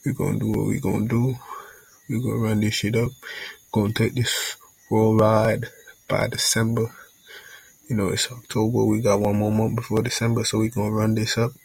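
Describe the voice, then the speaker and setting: A man's voice: fairly low pitch, flat delivery, normal speed, vocal fry, a little mellow, slightly rough, no room sound, low-quality recording. A young man from Jamaica hosting a casual solo podcast intro in a laid-back, rambling way, often saying "you know what I'm saying". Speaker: podcast host.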